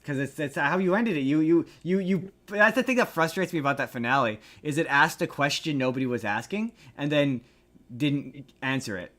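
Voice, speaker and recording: A man's voice: fairly high pitch, animated, moderate pace, a bit bright. A man hosting a podcast with casual pop-culture news chat, speaking in a rambling, opinionated way that often doubles back on itself. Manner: rambling, opinionated, often restarts and repeats himself. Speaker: podcast host.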